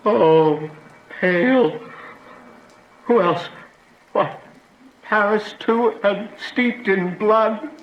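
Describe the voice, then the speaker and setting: An actor playing an anxious, sorrowful friar in a Shakespearean radio drama, theatrical and full of alarmed exclamation. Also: male voice, sits high, extremely slow, long pauses, lo-fi sound, exaggerated voice. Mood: fearful dismay. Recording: echoey space with faint noise.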